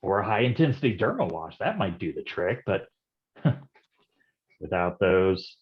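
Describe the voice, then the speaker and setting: Man acting a deep, animated hardboiled detective. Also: measured pace, highs cut off. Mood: guarded. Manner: slangy tough-guy talk. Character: hardboiled, wisecracking, down-at-heel male noir private detective. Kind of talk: noir stage acting with narrated monologue. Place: livestreamed staged reading.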